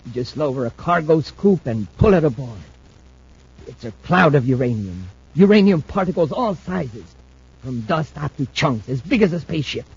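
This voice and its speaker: An actor playing a weakened, obsessed spacer in a 1950s radio drama, brooding and intense. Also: male voice, fairly high pitch, expressive, moderate pace, vocal fry, darkish tone, husky, exaggerated voice. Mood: feverish, driven. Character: thin, weakened, obsessed male spacer seeking his old partner. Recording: muffled old-time radio recording.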